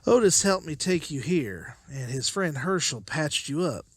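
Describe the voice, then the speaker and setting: Actor as a husky, anguished protective father. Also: a little high, expressive, measured pace, dry recording, clean studio sound. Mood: tender. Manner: intense, emotional. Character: anguished, protective father leading zombie-apocalypse survivors. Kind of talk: motion comic voice acting.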